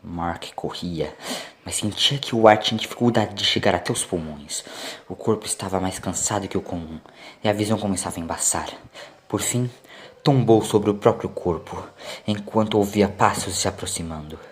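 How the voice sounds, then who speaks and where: A man's voice: sits low, moderate pace, a little hiss or hum. A man with a Brazilian accent reading a scripted dramatic story as narrator. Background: faint music.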